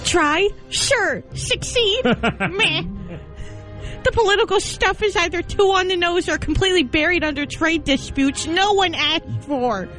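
Brief: very high-voiced woman firing off snarky comebacks. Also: expressive, measured pace, over music. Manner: sarcastic, dismissive retorts. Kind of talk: reading a scripted comedy debate.

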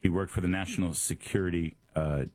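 A man's voice: deep voice, fairly even intonation, fairly quick, a little mellow. A middle-aged man from the American Midwest with a Midwestern accent, hosting a political talk show with a sarcastic, mocking manner and frequent repeated words. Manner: sarcastic, mocking exaggerated pronunciations, repeats words when starting. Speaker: radio and podcast host.

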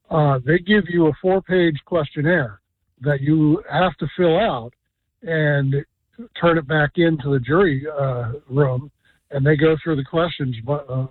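A man calling in to a radio show, telling a story in a halting, rambling way with many fillers. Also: a little high, no room sound. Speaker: caller. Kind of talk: phone-in chat. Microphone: telephone. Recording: dry tinny phone line.

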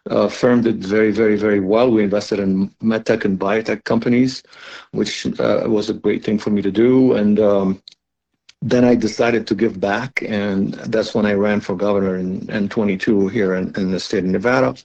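Gravelly low-voiced man in his sixties. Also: fairly even intonation, normal speed, a little mellow. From Lebanon. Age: 60s. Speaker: interview guest, author. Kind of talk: conversational radio interview. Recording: dull lo-fi remote connection.

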